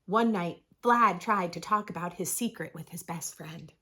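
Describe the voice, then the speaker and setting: A woman reading a picture book aloud to young children, expressively, with dramatic pauses and drawn-out emphasis. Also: fairly low pitch, pushed and bright. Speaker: children's storybook reader, host. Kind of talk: expressive read-aloud narration for preschoolers.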